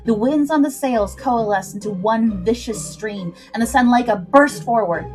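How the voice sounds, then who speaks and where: Female storyteller, higher-pitched voice, dramatic scripted adventure narration over light background music. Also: moderate pace. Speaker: storyteller, livestream host.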